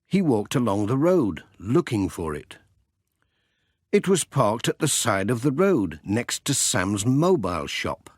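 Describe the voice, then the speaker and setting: A man reads a children's audiobook unhurriedly. His storytelling is highly animated and expressive, recorded dry and close. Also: a little slow, dry recording. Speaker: audiobook narrator.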